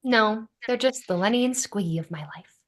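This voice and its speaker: A woman's voice: fairly high pitch, moderate pace, natural voice. A woman playing a wry, caring young city woman in a sitcom table read, with dry, teasing delivery.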